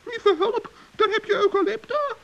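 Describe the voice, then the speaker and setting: A performer playing a small, good-hearted old male gnome in a children's radio play, earnest and fretful, with a squeaky, plaintive voice on a muffled old recording. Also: moderate pace, creaky. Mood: worried, plaintive.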